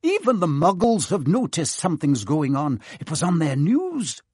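A man's voice: fairly high pitch, wildly swooping pitch, moderate pace, a little mellow, breathy edge, dry recording, clean studio sound, full character voice. A man voicing a stern, prim older woman professor of witchcraft who talks in a clipped, impatient way. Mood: impatient scolding. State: trembling with shock at the end. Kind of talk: audiobook character voice.

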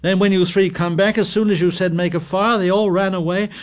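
A man's voice: a little high, animated, unhurried, pitched above the performer's own voice. A man voicing an indignant, fussy schoolboy who scolds breathlessly in dialect grammar. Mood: protesting.

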